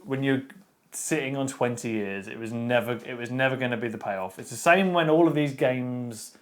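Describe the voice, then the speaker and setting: A man's voice: medium pitch, normal speed. Matter-of-fact man, casual co-host. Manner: dry, matter-of-fact, with stammered restarts. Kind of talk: casual chat.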